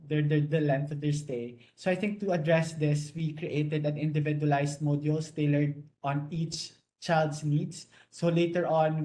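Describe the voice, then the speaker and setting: A man's voice: a little high, a little mellow. A young man speaking earnestly as a panelist about leading a community project, fairly fluent with a few restarts. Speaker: youth project leader, panelist. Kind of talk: panel discussion.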